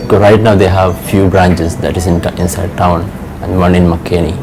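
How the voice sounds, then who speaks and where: A man in his thirties speaks in a low, measured voice during an office interview testimonial. He often drops in "you know". Music plays clearly behind him. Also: little pitch movement. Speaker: financial controller. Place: office indoors.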